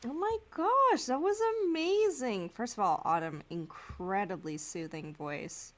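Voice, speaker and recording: A woman's voice: mid-range voice, animated, measured pace, fairly bright tone. A woman co-hosting a podcast in casual reaction chat, talking in an effusive, emotive way. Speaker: podcast co-host.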